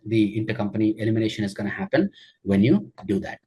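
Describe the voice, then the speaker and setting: A man teaching a software tutorial during a screen share, explaining each step with frequent fillers and check-ins. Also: fairly low pitch, lively intonation, fast, slight background noise. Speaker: software trainer.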